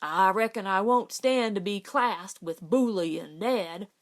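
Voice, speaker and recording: Woman acting a loud, indignant old cowboy. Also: medium pitch, a little slow, close to an everyday voice. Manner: loud, blustering, folksy Western speech. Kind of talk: audiobook character voice.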